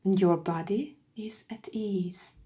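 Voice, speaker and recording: A woman guiding a meditation from a script, soothing and hushed, with phrases that trail into breathiness. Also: mid-range voice, fairly even intonation, slow pace. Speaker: meditation guide. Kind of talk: slow guided meditation, scripted.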